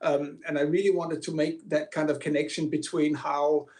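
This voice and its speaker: A man's voice: fairly high pitch, little pitch movement, normal speed, darkish tone. A man from Greenland, a filmmaker and film teacher, answering interview questions in a hesitant way, with many fillers and restarts.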